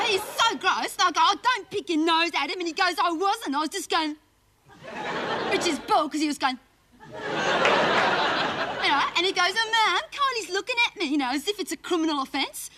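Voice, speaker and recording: A woman's voice: very high voice, animated, normal speed, pauses often. A woman playing a bratty, indignant Australian schoolgirl in a comedy monologue, telling tales in a slangy run-on way and mimicking her family. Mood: aggrieved. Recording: loud background noise.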